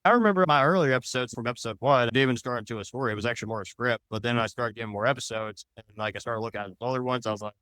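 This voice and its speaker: A man's voice: medium pitch, racing, few pauses, bright, forceful tone, clean tone, no echo. A man answering interview questions as a podcast guest, talking in loose, rambling explanations. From United States.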